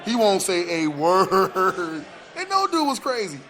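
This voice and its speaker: A high-pitched American man co-hosts a sports radio show. He talks in loose, slangy banter and doubles up on words and phrases to drive his point home, in an animated way. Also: unhurried.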